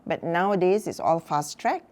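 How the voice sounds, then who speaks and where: A woman in her sixties, a mother on a talk show, telling stories from her life in a relaxed, chatty way with many fillers. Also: on the low side, normal speed. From Malaysia. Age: 60s. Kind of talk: casual chat.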